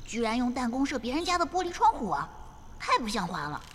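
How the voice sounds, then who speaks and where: A timid, indignant little schoolboy in an audio drama, high and hesitant. The sound has long reverb, with clear chirping and clicking noise behind. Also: female voice, sing-song, fast talker. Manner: muttering to himself, hesitant. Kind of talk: Chinese audio drama acting. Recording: reverberant, noisy with clicks and crickets.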